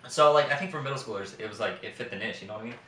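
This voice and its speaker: Bright-voiced man bantering in echoey room. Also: fairly low pitch, very fast. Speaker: podcast co-host. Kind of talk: casual banter among friends. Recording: echoey room, distant mic.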